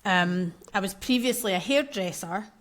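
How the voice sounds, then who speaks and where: A woman with a Scottish accent, talking casually as a podcast guest. She tells her story openly and with animation, at a pitch typical for a woman. She sounds like she is in her thirties. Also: lively intonation, moderate pace. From Scotland. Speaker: podcast guest, maternal mental health coach.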